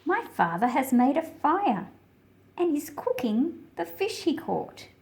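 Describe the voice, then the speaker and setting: Higher-voiced woman presenting for children. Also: sing-song, slow pace, darkish tone, slight background noise. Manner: addresses young listeners with questions. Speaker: picture-book read-aloud presenter. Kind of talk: children's story read-aloud and explanation.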